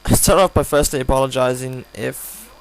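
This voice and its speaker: A tired man with a croaky voice gives casual, unscripted game commentary, rambling and hesitant as he reviews. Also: mid-range voice, normal speed, rough recording. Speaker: gaming video host reviewing a weapon. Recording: home recording with audible hum, background noise.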